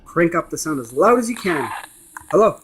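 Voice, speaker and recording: Guy with a highish voice doing a casual gadget demo, insistent, bit of static noise in the room. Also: very animated, normal speed, fairly bright tone. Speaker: presenter of gadget demonstration. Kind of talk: casual product demonstration. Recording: noisy room with device static. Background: radio scanning static.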